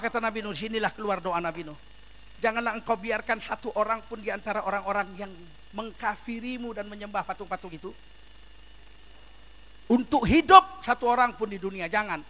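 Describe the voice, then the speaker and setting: A man's voice: sits high, lo-fi sound. A man preaching a sermon in a large mosque, emphatic and firing rhetorical questions at the congregation.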